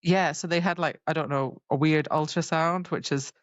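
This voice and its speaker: A woman's voice: low-pitched, fairly even intonation, moderate pace, no echo. A woman co-hosting a podcast, chatting informally about books with wry asides, on a slightly dull microphone. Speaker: podcast co-host. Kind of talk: casual book discussion chat.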